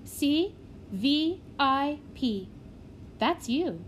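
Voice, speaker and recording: A woman's voice: sits high, big pitch swings, extremely slow, a little hiss or hum, pitched above the performer's own voice, exaggerated voice. A woman voicing a bossy, impatient young girl in a children's storybook, with a snappy, commanding manner. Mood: brisk.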